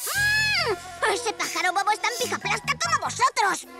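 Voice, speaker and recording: A woman's voice: very high-pitched, sing-song, moderate pace. A woman voicing a gloating, petulant little moon villain girl in a children's cartoon. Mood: outraged. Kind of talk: animated children's cartoon dubbing. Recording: loud music behind the voice.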